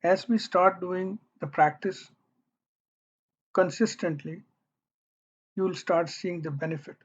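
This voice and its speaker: A meditation guide speaking gently and deliberately, leaving long pauses between short phrases. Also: mid-range voice, slightly rough. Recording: dull, muffled lo-fi audio.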